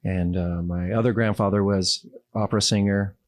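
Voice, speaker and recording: Low-voiced American man, close dry sound. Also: fairly even intonation, moderate pace, no echo. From Los Angeles, United States. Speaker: interview guest, musician. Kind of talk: casual reflective interview talk.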